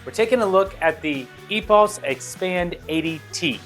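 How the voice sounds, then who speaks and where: A man's voice: sits high, expressive, moderate pace, a bit bright. Man presenting over background music. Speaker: tech review video host. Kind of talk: casual product unboxing and review presentation.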